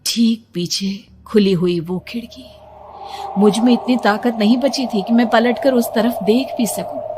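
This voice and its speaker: A woman's voice: fairly high pitch, a little slow, background noise. A woman giving a suspenseful, theatrical first-person narration of a scripted horror story.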